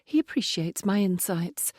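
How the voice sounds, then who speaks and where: A woman voicing a sharp, teasing young woman who pries and needles in a raised, higher voice. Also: expressive, moderate pace, no echo, studio quality. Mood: brisk. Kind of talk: audiobook dialogue.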